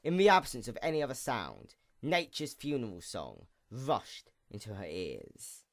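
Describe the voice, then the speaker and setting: A man's voice: medium pitch, huge pitch swings, unhurried, gravelly. Man narrating fantasy with sweeping intonation. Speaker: story narrator. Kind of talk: scripted dramatic narration of a fantasy story.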